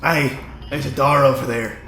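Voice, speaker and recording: Gruff, blunt fantasy rogue played by a man, heavy gravel in a forceful voice, rough colloquial speech, roomy reverb. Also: medium pitch, steady tone, moderate pace, slight background noise. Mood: gruff, matter-of-fact. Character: gruff, crude, practical older rogue companion. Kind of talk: fantasy audio drama acting. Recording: roomy reverb, music underneath.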